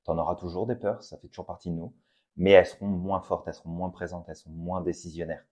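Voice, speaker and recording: A man co-hosting a casual motivational podcast, speaking calmly and addressing the listener directly to push them into action. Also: low-pitched, fast. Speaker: podcast co-host.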